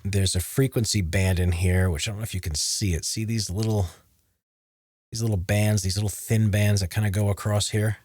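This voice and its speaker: A man with a low, deep voice, casually explaining how he edits his voice-over recordings to a livestream audience from his home studio desk. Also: steady tone, normal speed, darkish tone, no room sound. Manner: talks over his own playback, breaking off to explain edits. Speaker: voice actor editing his own recordings. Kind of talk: casual livestream tutorial mixed with recorded narration takes. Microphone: studio mic on a boom arm.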